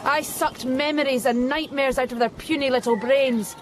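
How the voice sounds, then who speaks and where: High-voiced eerie alien child played by a woman. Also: steady tone, normal speed, noisy background, close to an everyday voice. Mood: coolly menacing. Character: eerie alien replica of a child, coolly menacing daughter. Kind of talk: radio drama acting.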